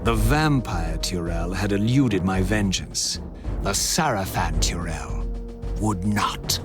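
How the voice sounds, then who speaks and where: An actor voicing a vengeful, brooding undead wraith in a video game, narrating in ornate, deliberate, theatrical phrases. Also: male voice, low voice, lively intonation, over music. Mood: solemn, brooding. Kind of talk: dramatic scripted game narration.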